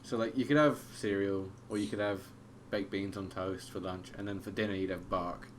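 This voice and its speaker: A man's voice: deep voice, fairly even intonation, normal speed. A young man, co-hosting a casual podcast chat, swearing freely and calling his friend mate. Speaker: podcast co-host. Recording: faint background noise.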